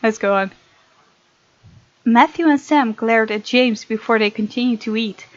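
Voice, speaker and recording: A woman hosting a gaming video, reading visual novel text aloud and chatting casually in an expressive way. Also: on the high side, unhurried, dull audio.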